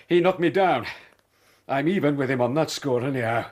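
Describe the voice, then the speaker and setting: A man's voice: mid-range voice, normal speed, lightly acted. An actor playing a hot-headed, lovesick man who speaks passionately and vehemently in a radio drama. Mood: earnest. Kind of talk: BBC radio drama acting.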